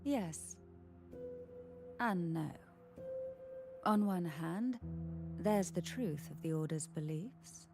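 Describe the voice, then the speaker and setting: An actress playing a soft-spoken, enigmatic aristocrat in a video game, speaking slowly and formally with a soothing, cryptic air. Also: female voice, on the low side, lively intonation, music in the background, full character voice. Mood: cryptic, solemn. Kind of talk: scripted video game voice acting.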